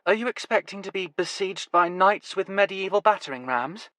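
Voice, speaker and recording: A man's voice: sits high, lively intonation, normal speed, bright, forceful tone, no echo, voice pushed up. A man playing a dry, mock-grand woman. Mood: wry. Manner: dry, mock-formal, teasing.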